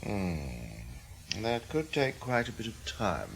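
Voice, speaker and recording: A man's voice: mid-range voice, very animated, slow pace, vocal fry, full character voice. A man acting in a radio drama as a wary, composed KGB officer, controlled and probing in his talk.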